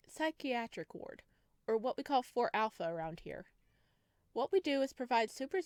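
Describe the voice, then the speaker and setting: A woman's voice: fairly high pitch, dry recording, close to an everyday voice. A woman voicing a friendly, efficient nurse in a visual novel, polite and businesslike. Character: friendly, efficient female resident nurse on psych ward.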